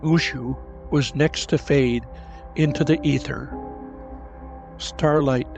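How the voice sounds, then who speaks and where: A man's voice: medium pitch, steady tone, slow pace, creaky. A man giving dramatic, scripted narration for a fantasy audio drama. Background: music.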